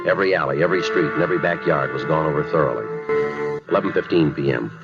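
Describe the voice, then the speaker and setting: A dull 1950s radio recording of an American police detective. His voice is extremely low and he talks quickly, deadpan and clipped, in matter-of-fact radio drama fashion. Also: loud music behind the voice. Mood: deadpan, factual. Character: terse, matter-of-fact male police detective narrating a case.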